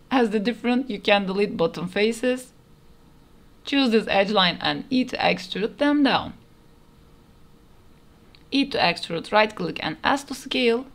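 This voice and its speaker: A woman narrating a step-by-step 3D modeling tutorial in plain, instructional phrasing, recorded as a voice-over. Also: on the high side. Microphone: voice-over over screen recording.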